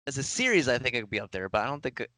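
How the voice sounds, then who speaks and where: A man's voice: mid-range voice, big pitch swings, racing, pushed and bright, no room sound. A man chatting casually as a podcast game contestant, in a self-deprecating manner. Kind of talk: casual chat.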